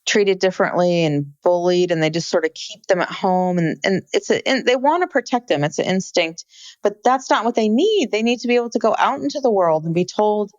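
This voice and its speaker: A woman's voice: mid-range voice, animated, fairly quick, dry recording. A woman co-hosting a casual podcast, earnestly explaining background and retelling what she saw. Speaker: podcast co-host. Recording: dull, dry, slightly lo-fi mic.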